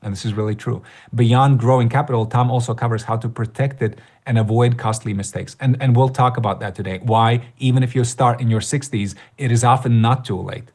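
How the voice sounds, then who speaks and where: A man's voice: medium pitch, steady tone, brisk, few pauses, darkish tone. A middle-aged man from Latvia hosting a live online workshop, talking conversationally with frequent fillers and restarts. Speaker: workshop host. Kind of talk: live webinar presentation.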